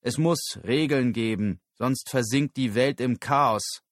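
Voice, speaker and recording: A man's voice: medium pitch, slow, no echo, clean studio sound, voice pushed up, natural voice. A man voicing a firm male official in formal, emphatic public-speaking delivery, in an audiobook story. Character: firm male official defending strict rules at podium.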